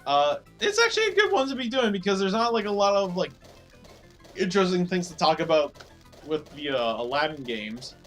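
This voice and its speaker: Man, slightly hoarse, casual stream chat, rambling with fillers, faint game music behind. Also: high voice, sing-song, a little fast, a bit bright. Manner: rambling, filler-heavy, repeats words. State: slightly hoarse, losing his voice. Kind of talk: casual live-stream chat over gameplay.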